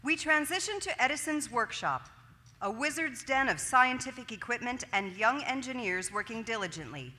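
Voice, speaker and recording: A woman narrates scripted stage directions in a bright, forceful, fairly high voice. She is in a reverberant hall with some crowd sound. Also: animated, moderate pace. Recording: reverberant hall, audience noise, faint hiss.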